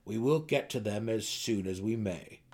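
A man voicing a commanding gnome king in a fantasy audiobook, speaking with measured, deliberate authority to calm an anxious council. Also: fairly low pitch, husky. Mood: commanding, decisive.

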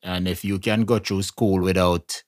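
A man's voice: low voice, fairly even intonation, unhurried, a little mellow, dry recording. A man with a Jamaican accent hosting a conversational interview in an affirming, emphatic way. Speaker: interviewer, host.

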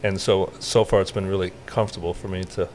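A middle-aged man with a lowish voice talks in a relaxed, conversational way. He is a guest on a podcast interview, speaking as a realtor. Faint hiss sits behind him. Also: quick pace.

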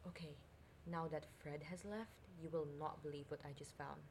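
A woman's voice: deep voice, normal speed, darkish tone. Woman acting curious young princess, faint noise. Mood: sly, urgent. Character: curious young princess, restless and affectionate sister. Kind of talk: audio drama acting.

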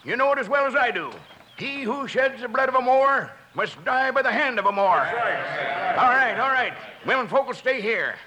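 An actor playing a stern, combative clan patriarch in an old-time radio Western, with an American Western drawl. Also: male voice, very high voice, expressive, normal speed, pushed and bright, full character voice. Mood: grim, commanding. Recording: noisy old radio recording.

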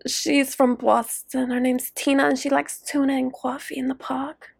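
Giggly high-voiced young woman co-host. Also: level tone, normal speed, creaky, husky. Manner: giggly, swears freely, playful teasing. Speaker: podcast co-host. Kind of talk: casual late-night chat.

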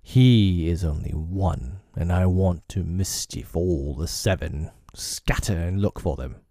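A man voicing a proud, brooding, vengeful pirate captain from a storybook, with slow, drawn-out, dramatic delivery. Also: deep voice, expressive, mellow, no echo, clean studio sound. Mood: dark, menacing.

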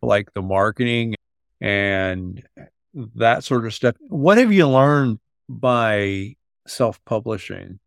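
A man with a noticeable fry in his mid-pitched voice chats as an interviewer. He phrases things loosely, wandering a bit before he gets to the question. The recording is dry and free of echo. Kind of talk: casual chat.

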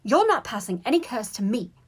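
Storybook schoolgirl, acted by a woman narrator: bossy, scolding, pitched up, snappy know-it-all delivery. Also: lively intonation, moderate pace, breathy edge. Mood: indignant. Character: bossy, exasperated teenage schoolgirl, sharp and scolding.

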